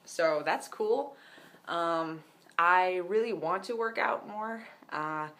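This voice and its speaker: A man's voice: high-pitched, expressive, slow pace, sharp tone. A young man with a voice that cracks now and then gives a casual, rambling video diary update at home, with many ums and likes. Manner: rambling, lots of "um" and "like". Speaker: vlogger.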